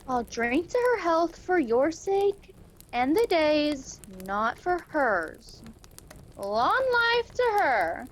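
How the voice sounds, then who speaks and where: A woman's voice: high voice, sing-song, very slow, heavily acted. A woman playing a poor, protective wife and mother with emphatic, scornful delivery in a radio play. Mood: bitter. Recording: faintly noisy home recording.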